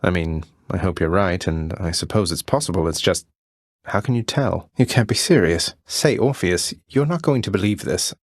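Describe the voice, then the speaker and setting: A man playing a young underworld prince in a video game, friendly, courteous and gently wry. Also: deep voice, moderate pace, no room sound, studio quality. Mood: curious, wry.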